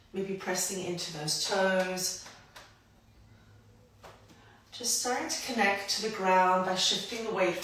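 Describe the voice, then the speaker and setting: A woman guiding a grounding movement practice, gentle and invitational, her voice a little croaky from hay fever. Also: mid-range voice, distant mic, a little hiss or hum. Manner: gentle, invitational, unhurried guidance. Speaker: somatic practice guide. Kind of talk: guided movement instruction. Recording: roomy echo, voice from afar.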